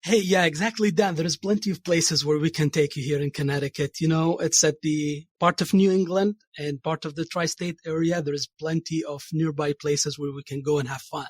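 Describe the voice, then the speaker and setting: A man's voice: on the high side, fairly even intonation, normal speed, hardly any pauses, breathy edge, no room sound, studio quality. A man from Saudi Arabia, a chief cardiology fellow, talking eagerly as a podcast guest. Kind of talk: podcast interview, introducing himself and a place.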